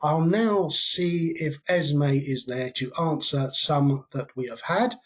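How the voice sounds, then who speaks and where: A British man presenting an informational webinar, with a slightly higher voice than most men. He talks in a loose, conversational way, leaving frequent ums and uhs between points. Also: slow.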